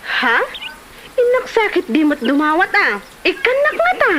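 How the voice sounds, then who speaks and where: A woman's voice: very high-pitched, sing-song, moderate pace, heavy background noise. A woman with an Ilocano Filipino accent acting a chatty, envious village wife in a comedy drama. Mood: envious, curious.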